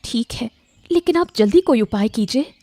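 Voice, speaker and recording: A woman's voice: on the high side, lively intonation, normal speed, noisy background. A woman playing a worried, polite mother stranded and pleading earnestly for help in a storybook drama. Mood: anxious, pleading.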